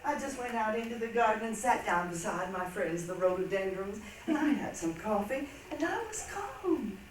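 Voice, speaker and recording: A woman's voice: mid-range voice, animated, moderate pace, natural voice. A woman playing a whimsical, put-upon storyteller in a staged reading, wry and theatrical. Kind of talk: stage readers' theatre monologue. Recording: echoey hall, distant mic, faint noise.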